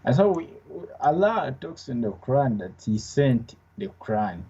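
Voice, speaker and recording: A man calling in to a debate show, husky and dark-toned, sticking calmly to his argument. The line sounds dull and lo-fi. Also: mid-range voice, normal speed. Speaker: caller. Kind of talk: call-in debate.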